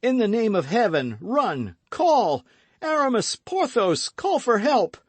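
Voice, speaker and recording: A man's voice: high-pitched, sing-song, takes it slowly, slightly rough, no room sound, pitched above the performer's own voice, full character voice. A man reading aloud voices a passionate young man who cries out frantically. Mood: frantic. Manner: crying out frantically.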